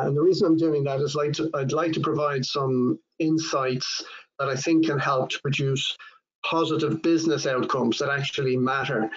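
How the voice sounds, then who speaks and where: Irish man presenting a webinar, lo-fi audio. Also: mid-range voice. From Ireland. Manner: measured, formal, with frequent fillers and restarts. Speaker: supply chain consultant and author.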